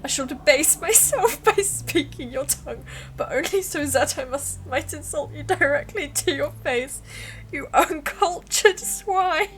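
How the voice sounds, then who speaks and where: A woman's voice: high-pitched, a little slow, fairly bright tone, pitched above the performer's own voice. A woman voicing a snobbish, sassy French female cat in a video game, haughty and disdainful.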